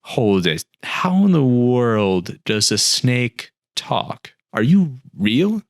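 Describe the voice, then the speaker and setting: A man's voice: mid-range voice, sing-song, very slow, no echo, voice pushed up, full character voice. A parched, dazed castaway in the desert, as a man reads him pleads and fires off bewildered questions in a raised voice. It sounds like a read-aloud storybook character. The recording is close and dry. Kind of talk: storybook reading.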